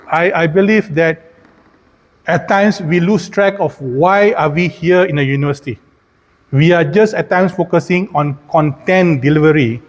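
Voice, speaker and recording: A middle-aged man with a Malaysian accent giving a conference keynote, putting rhetorical questions to his listeners. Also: high voice. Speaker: keynote speaker, engineering professor. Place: large indoor conference hall, speaking at a podium. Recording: hall reverb from a PA.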